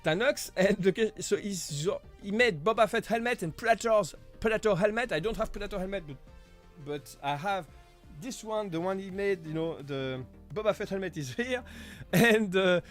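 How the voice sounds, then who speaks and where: A man hosting a casual live stream Q&A, chatty and halting, with frequent small laughs. His voice is deepened while he gets over an illness. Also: lively intonation, faint music behind. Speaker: live stream host answering viewer questions.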